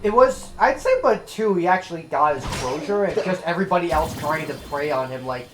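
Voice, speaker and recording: A man's voice: fairly high pitch, animated, brisk, fairly bright tone, reverberant, rough recording. A man giving casual, rambling, sweary co-commentary over game cutscenes.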